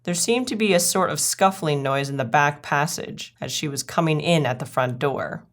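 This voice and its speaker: A woman's voice: deep voice, moderate pace. A woman storyteller reading a scripted children's tale aloud as narration.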